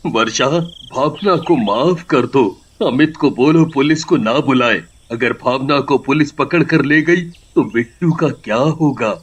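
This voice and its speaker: A man playing an earnest, dismayed young husband in an animated horror story, urgent and dramatic. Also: mid-range voice, measured pace, few pauses, slightly rough. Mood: pleading. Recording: slightly dull, muffled recording.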